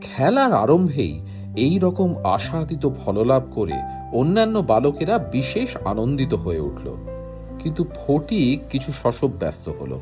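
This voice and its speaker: A man narrating a literary story from a script in an expressive way. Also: mid-range voice, measured pace. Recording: music behind the voice. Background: plucked guitar-like music.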